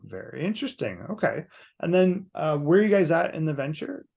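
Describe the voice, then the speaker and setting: Male podcast host, fairly high-pitched and gravelly, chatty and affirming. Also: sing-song, fairly quick, a little mellow. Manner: chatty, affirming, laughs easily. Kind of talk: casual interview hosting.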